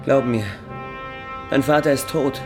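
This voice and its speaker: An actor playing a solemn medieval rabbi with a gravelly voice in a radio play. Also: male voice, medium pitch, fairly even intonation, a little slow, lightly acted. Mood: solemn, mournful. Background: loud brass music.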